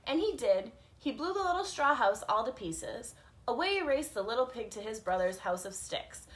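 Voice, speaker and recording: A woman reading a picture book aloud to children as a teacher, in an expressive storytelling style. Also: a little high, moderate pace.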